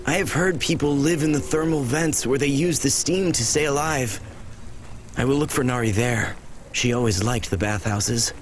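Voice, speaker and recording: A man's voice: medium pitch, moderate pace, a little hiss or hum, natural voice. A man playing a confused, lovelorn, childlike old soul in a video game, speaking earnestly and naively. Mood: earnest, hopeful. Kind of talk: video game dialogue acting.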